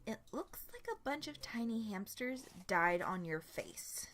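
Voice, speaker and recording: A woman's voice: fairly high pitch, sing-song, measured pace, a bit bright, a little hiss or hum. A woman voicing a bold, sardonic young woman with a casual, mocking manner, in a story reading. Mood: sardonic, smug. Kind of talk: animated storybook character reading.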